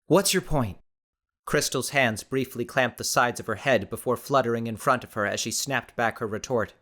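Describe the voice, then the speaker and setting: A man's voice: mid-range voice, fairly even intonation, moderate pace, bright, forceful tone. A man reading scripted, dramatic story narration as an audiobook narrator.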